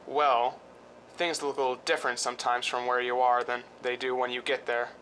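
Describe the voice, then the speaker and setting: A man acts a brooding, volatile husband from an old-style suspense radio play. The voice is mid-pitched with a bright, tense edge, and the delivery halts. Slight rumbling noise runs underneath. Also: little pitch movement, brisk, natural voice. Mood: resigned, yearning. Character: handsome, weak, violent-tempered husband, a former lifeguard. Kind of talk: 1940s-style suspense radio drama acting. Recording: noisy recording with low rumble.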